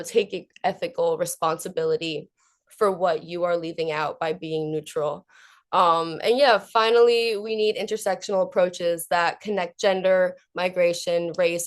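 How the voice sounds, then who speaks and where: Lower-pitched young woman presenting research. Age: young adult. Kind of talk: academic conference presentation.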